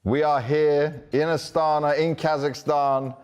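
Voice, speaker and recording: A man's voice: on the high side, little pitch movement, unhurried, over music. A man interviewing a coach at an esports event, recapping results in short conversational fragments.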